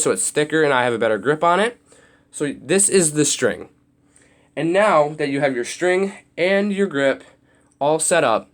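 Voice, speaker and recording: Young male hobby host, a bit raspy, rambling how-to walkthrough. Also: medium pitch. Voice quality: slightly raspy. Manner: loose, rambling explanations with many restarts and fillers. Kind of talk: casual how-to demonstration.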